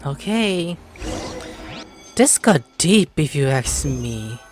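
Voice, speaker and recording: Female gamer: lowish, highly animated, informal reactions over faint game music. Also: takes it slowly. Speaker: gamer commenting on gameplay. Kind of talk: casual gameplay reactions.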